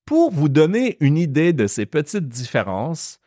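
A man with a Quebec French accent hosting a podcast lesson, explaining slowly and carefully. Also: a little high, wildly swooping pitch, dark tone, no room sound, studio quality. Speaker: French teacher and podcast host.

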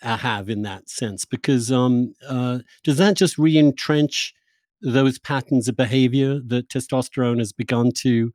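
A man's voice: medium pitch, darkish tone, no echo, studio quality. A man hosting a conversational interview, candid and informal, often repeating words as he forms his thoughts. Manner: halting, repeats words while forming questions. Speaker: podcast host, interviewer. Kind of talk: conversational long-form interview.

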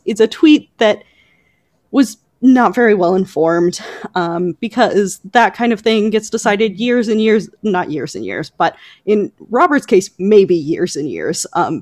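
A woman co-hosting a podcast, talking through book news conversationally with hesitant pauses as she picks her words. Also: fairly low pitch, a little mellow, no echo, clean studio sound. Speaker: podcast co-host.

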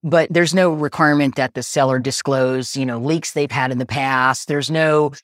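A woman's voice: very deep voice, fairly even intonation, normal speed, no echo. Woman, forties, real estate agent, explanatory, laughs easily, dry sound. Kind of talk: casual interview chat.

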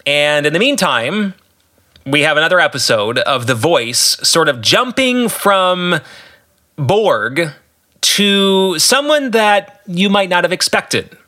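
Bright, slow-paced man with deliberate emphasis. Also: a little high. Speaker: podcast host and author commenting on own novel. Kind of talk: solo podcast commentary, explanatory storytelling.

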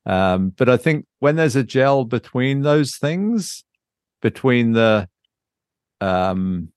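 A man's voice: medium pitch, very animated, slow pace, darkish tone, smooth, no echo, studio quality. A man chatting casually and unscripted as a podcast host, thinking aloud and searching for his words. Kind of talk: casual unscripted chat about board games.

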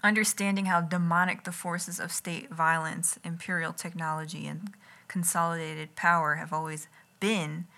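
A woman reading her own writing aloud as an ASMR read-along, in a deliberate, literary manner with wry asides, close to the mic in a home kitchen. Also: on the low side, sharp tone. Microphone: close enough to catch breath and lips.

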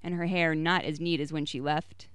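A woman reading a short story aloud, voicing its dialogue a little higher and brighter than her narration. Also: steady tone, normal speed, smooth, no room sound. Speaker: story reader.

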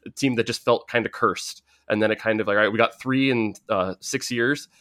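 A man from the Bay Area with an American accent, hosting a casual sports podcast in a halting, rambling, filler-heavy way. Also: on the low side, pushed and bright, no echo, clean studio sound. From San Francisco Bay Area, United States. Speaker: podcast host.

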